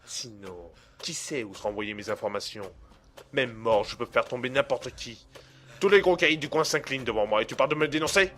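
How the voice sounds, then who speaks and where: A man playing a cold, menacing blackmailer in an audio drama, speaking in a smooth, condescending and formal way. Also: mid-range voice, brisk, fairly bright tone, lightly acted. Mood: menacing, contemptuous. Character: cold, menacing male blackmailer and hacker, smugly in control. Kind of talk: amateur audio drama acting.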